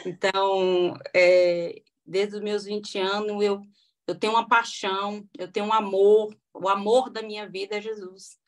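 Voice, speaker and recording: A woman's voice: medium pitch, little pitch movement, a little slow. A woman in her forties, a podcast guest, sharing a faith testimony in a hesitant, heartfelt way with many restarts. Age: 40s. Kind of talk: testimony in a casual interview.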